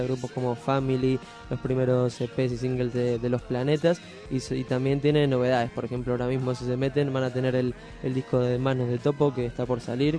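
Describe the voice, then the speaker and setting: A man with an Argentine accent co-hosting an informal radio show, giving recommendations in a halting, hesitant way. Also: medium pitch, dark tone.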